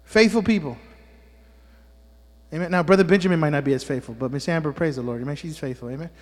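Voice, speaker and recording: High-voiced pastor guy, spanish first language, keeps teasing folks and asking amen, big churchy reverb. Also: fast. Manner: teasing, joking asides, frequent "amen?". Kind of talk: sermon. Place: church sanctuary.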